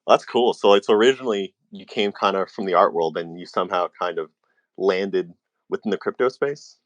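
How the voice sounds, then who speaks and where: A man with a notably deep voice hosts a casual question-and-answer session. He feels his way through questions hesitantly and in a roundabout fashion. The sound is very dry, with no room around it. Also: brisk, no echo, highs cut off. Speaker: interviewer, moderator. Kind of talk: casual moderated Q&A.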